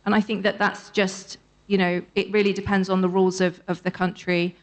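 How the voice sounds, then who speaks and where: Woman on a conference panel, academic type, measured, explains stuff step by step. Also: mid-range voice, little pitch movement. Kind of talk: answering audience questions on a panel.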